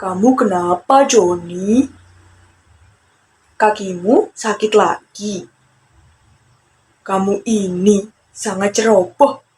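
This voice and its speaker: Patient storybook turtle, read by a woman, unhurried and earnest, faint noise behind. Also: medium pitch, lightly acted. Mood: scolding. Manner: earnest, gently scolding. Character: patient, careful, kindly male turtle friend. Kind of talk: children's fable storytelling.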